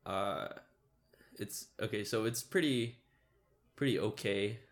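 A man's voice: lowish voice, slow. A young man co-hosting a podcast, talking in a laid-back, rambling way with many fillers. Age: young adult. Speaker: podcast co-host. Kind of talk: casual chat.

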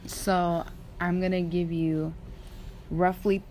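A woman's voice: fairly low pitch, level tone, slow pace, darkish tone, a little hiss or hum. A woman hosting a casual conversation in an informal, slangy way. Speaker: podcast host. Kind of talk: casual chat about fashion.

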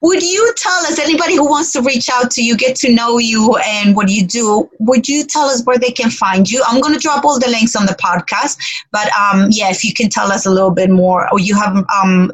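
A woman's voice: mid-range voice, moderate pace, low-quality recording. A woman hosting a podcast, speaking enthusiastically and rambling a little as she talks. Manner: warm-hearted, enthusiastic, rambling. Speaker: podcast host. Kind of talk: casual podcast interview.

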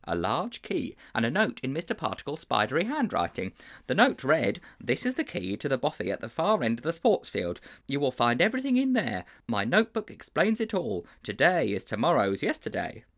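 A man's voice: medium pitch, a little fast, pitched above the performer's own voice, full character voice. A man voicing an earnest, anxious older schoolteacher who speaks formally and a little fussily. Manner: formal, slightly fussy, animated.

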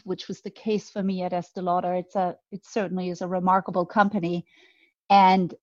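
A woman's voice: mid-range voice, no echo. A slightly muffled, dry recording carries a woman with a Danish accent. She talks in a conversational interview style as a guest, enthusiastic yet thoughtful, often repeating words as she shapes her point. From Denmark. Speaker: guest, beauty brand co-founder.

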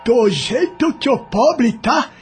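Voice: mid-range voice, expressive, slow, breathy edge, faint music behind, exaggerated voice. An actor in a radio drama playing a humble, deferential rural coachman with rustic speech. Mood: sorrowful, apologetic.

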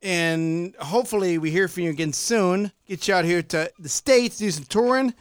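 Chicago-accented male podcast host, high smooth voice, loose and chatty. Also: normal speed, no echo, studio quality. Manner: laid-back, repeats himself, laughs often. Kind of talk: casual interview chat.

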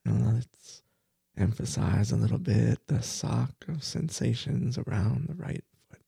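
Slow gravelly-voiced man guiding sleep meditation. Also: on the low side, no room sound, clean studio sound. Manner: slow, soft, soothing guidance with repeated phrases. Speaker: meditation teacher.

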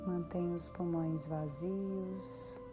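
A Brazilian woman leading a guided meditation in a soothing, measured way with long pauses. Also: on the low side, steady tone. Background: background music.

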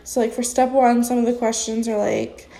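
A woman's voice: fairly high pitch, level tone, moderate pace, creaky, mellow. A young woman sponsoring others in recovery, talking off the cuff in a casual vlog. She sounds congested and blocked up, and rambles with many fillers. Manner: rambling, spontaneous, heavy with fillers. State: congested, stuffy nose. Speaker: recovery sponsor sharing experience. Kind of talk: casual unscripted vlog talk.

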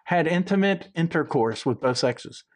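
A man's voice: a little high, animated, normal speed. A man from South Georgia co-hosting a podcast, with a mixed Southern accent, telling a rambling story in a playful, teasing way. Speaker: podcast co-host. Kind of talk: casual chat.

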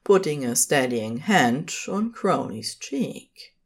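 A woman's voice: low voice, very animated, slow pace, breathy edge. A woman reading scripted fiction as the narrator of an audio story, in a dramatic storytelling style.

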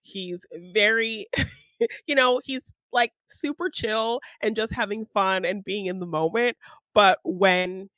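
Higher-pitched man chatting on a podcast. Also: slow pace, sharp tone, no room sound. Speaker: podcast co-host. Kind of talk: casual film discussion.

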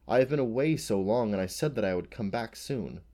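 A man voicing a gentle, lonely female unicorn who speaks softly and wistfully. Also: lowish voice, animated, fairly quick, lightly acted. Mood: pleading. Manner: soft, pleading, wistful. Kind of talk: audiobook character voice.

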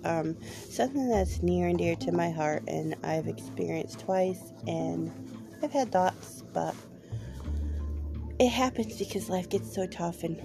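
A woman's voice: on the low side, measured pace, creaky, music in the background. A woman hosting her own podcast, talking candidly with many hesitant ums, her voice a little off from a cold. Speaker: podcast host. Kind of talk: personal podcast monologue.

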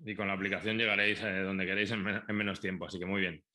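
A man's voice: sits low, flat delivery, quick pace, pushed and bright. A man interviewing a founder, encouraging him with short, colloquial interjections. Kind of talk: business interview host.

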